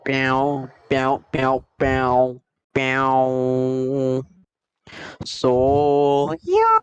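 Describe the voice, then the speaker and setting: A man's voice: medium pitch, sing-song, very slow, clear, resonant tone, no echo. A man chatting casually as he plays a game on a livestream. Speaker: game streamer. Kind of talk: casual livestream gameplay chat. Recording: dull, lo-fi stream audio with music.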